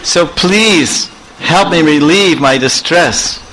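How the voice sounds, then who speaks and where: A man voicing a lovesick young male god in a raised, halting, pleading voice, as part of a devotional story. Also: fairly high pitch, bright, forceful tone, a little hiss or hum, pitched above the performer's own voice, low-quality recording.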